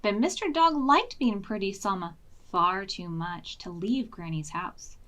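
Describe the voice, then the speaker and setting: A woman reading picture books aloud to young children as a storytime host, with expressive, deliberate phrasing and hushed dramatic asides. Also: mid-range voice. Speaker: children's librarian hosting preschool storytime.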